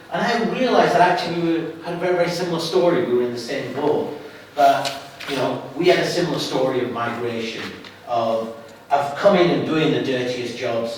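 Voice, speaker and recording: A middle-aged man with a Lancashire accent speaks earnestly to a gathered audience, often circling back to restart his phrases. He is heard from far off in a very echoey hall, with a faint hiss underneath. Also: medium pitch. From England, Lancashire. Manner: earnest, halting with frequent restarts. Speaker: guest speaker. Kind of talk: public talk to an audience. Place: hall at an evening event.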